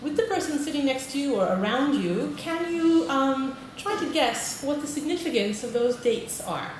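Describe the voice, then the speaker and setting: A woman's voice: high-pitched, expressive, moderate pace. British woman presenting in an echoey hall. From Britain. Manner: friendly, polls the audience, frequent "okay". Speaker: conference presenter, teacher trainer. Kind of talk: conference presentation. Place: large conference hall. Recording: echoey hall, distant mic, noisy.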